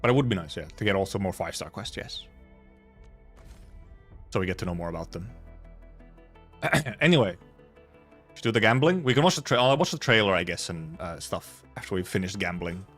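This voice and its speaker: A man with a fairly low voice talks casually as a game streamer. His pitch moves around in an animated way as he rambles and circles back over his own phrases. Music plays faintly behind him. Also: a little fast, long pauses. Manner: rambling, repeats himself. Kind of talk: casual livestream chat.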